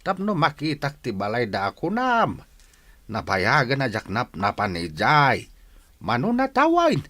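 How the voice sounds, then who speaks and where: A man acts out an elderly Ilocano Filipino village grandfather for a radio comedy drama. He drags his words out slowly and plaintively. His voice swings up and down with a bright edge. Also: mid-range voice, wildly swooping pitch, dry recording, full character voice. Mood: wistful.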